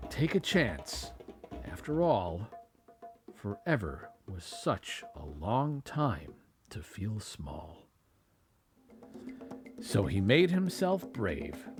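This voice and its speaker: Guy reading a bedtime story, super slow n dramatic, swoops all over, bit of music behind. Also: mid-range voice. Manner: dramatic, drawn-out phrasing. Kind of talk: expressive children's storybook narration. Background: music and added sound effects.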